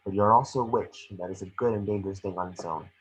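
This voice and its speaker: A man reading the part of a gentle, remorseful witch ghost mentor in an amateur table read, earnest and measured. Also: deep voice, slight background noise, lightly acted, poor audio. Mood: wistful.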